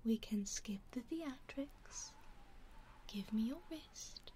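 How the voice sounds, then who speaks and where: A woman's voice: on the high side, lively intonation, slow, mellow. A woman whispering as a genteel British vampire lady. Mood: smug. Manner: whispered, coaxing, politely menacing. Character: genteel female vampire lady, coaxing and smug. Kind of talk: ASMR roleplay.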